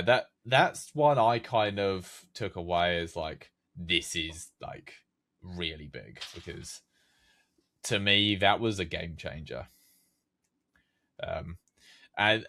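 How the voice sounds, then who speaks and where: A man's voice: sits low, fairly bright tone. A man of nineteen, a podcast guest who creates game maps, chatting casually and rambling with many fillers and restarts. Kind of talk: casual chat.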